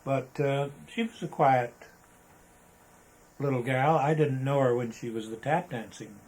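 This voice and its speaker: An older American man reminiscing casually in an interview, halting and rambling as he talks. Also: mid-range voice, a little mellow, rough recording. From Arizona, United States. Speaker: interviewee, veteran photographer. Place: indoors, photographer's studio.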